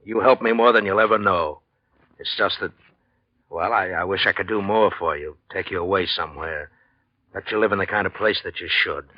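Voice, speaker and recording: Low-voiced, earnest painter. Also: male voice, fairly quick, no room sound. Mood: tender, weary. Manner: polite, hesitant, earnest. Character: struggling male painter, devoted husband, earnest and hesitant. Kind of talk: 1940s radio drama acting. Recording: dull lo-fi 1940s radio recording.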